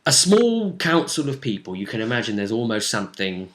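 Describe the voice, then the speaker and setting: A man's voice: mid-range voice, very animated, brisk, fairly bright tone. A man casually recapping a tabletop role-playing session as game master, rambling and dropping into characters' lines as he goes.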